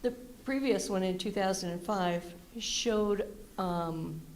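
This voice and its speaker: A woman resident speaking loudly and directly at a public planning meeting, with a gravelly voice. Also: medium pitch, slow pace, rough recording. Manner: loud, direct, chatty. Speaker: local resident commenting. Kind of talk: public comment at open house.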